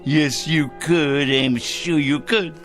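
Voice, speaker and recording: An actor playing a deranged, self-righteous crank in an audio drama, ranting gleefully and muttering to himself. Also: male voice, fairly high pitch, fairly even intonation, slow pace, fairly bright tone, soft background music. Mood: excited, cooing. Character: gleeful deranged crank bent on judging evil people. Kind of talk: theatrical audio drama acting.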